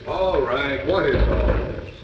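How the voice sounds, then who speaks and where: A man's voice: a little high, noisy background. Impatient, combative foreman, echoey old TV. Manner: blunt, argumentative. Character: impatient, combative male jury foreman, scornful and stubborn. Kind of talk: 1950s live television drama acting. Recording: muffled, echoey, distant 1950s TV broadcast.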